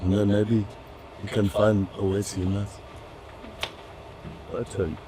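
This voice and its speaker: A young man speaking reflectively in a public community discussion, measured and pausing often. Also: on the low side, flat delivery, background noise. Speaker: audience member in a community dialogue. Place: event hall with audience.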